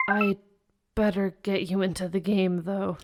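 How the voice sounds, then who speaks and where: A woman's voice: mid-range voice, steady tone, unhurried, darkish tone, soft background music, natural voice. A woman playing a frightened young gamer friend in an audio drama, speaking in casual, sweary slang. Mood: uneasy. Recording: clearly noisy recording with clicks.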